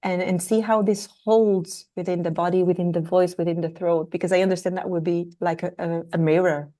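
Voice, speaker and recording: A woman host with a mellow, warm tone and a rather flat, even pitch leads the conversation. She affirms her guest readily and often pauses to find her words. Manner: enthusiastic, affirming, searching for words. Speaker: podcast host, fertility coach. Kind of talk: conversational interview.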